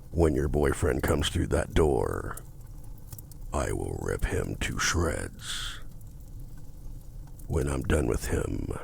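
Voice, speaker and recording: A man playing a seductive, possessive demon dealmaker in an intimate ASMR roleplay, speaking in a deep gravelly voice with a formal, domineering manner. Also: a little mellow, slight background noise, exaggerated voice. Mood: menacing. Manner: slow, deliberate, formal, domineering. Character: seductive possessive male demon contract-broker, menacing, smug.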